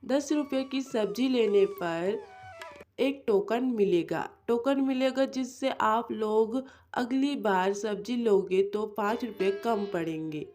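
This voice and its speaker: Kindly young male street vendor for a cartoon, voiced by a woman: earnest, persuasive, unhurried, faint music behind. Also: fairly high pitch, a little mellow. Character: cheerful, clever, kindly young male vegetable seller. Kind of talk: cartoon story character voice.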